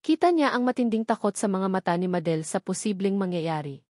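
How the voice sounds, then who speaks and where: A woman's voice: mid-range voice, animated, fairly quick, mellow, no echo. Woman narrating fiction, close dry sound. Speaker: audiobook narrator. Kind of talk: dramatic scripted story narration.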